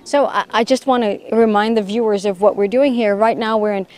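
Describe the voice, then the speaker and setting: A woman's voice: medium pitch, fairly even intonation, brisk, darkish tone. A woman news reporter interviewing students live on location at a university, prompting them with questions and restating their answers. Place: university campus in Rome.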